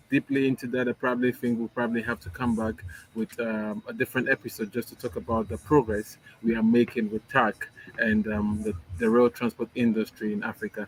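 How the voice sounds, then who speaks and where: A man with a mid-pitched voice moderates an online panel over a video call. He speaks hesitantly, filling his pauses with ums. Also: a bit bright, slightly rough, poor audio. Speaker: host, moderator.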